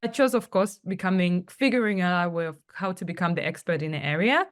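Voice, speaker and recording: A young woman from Tanzania with a slightly low voice tells her story as a podcast guest. She talks casually, with many "like"s, and acts out little quotes from friends along the way. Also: a little fast. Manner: chatty storytelling, many fillers and acted-out quotes. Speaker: guest, travel company founder.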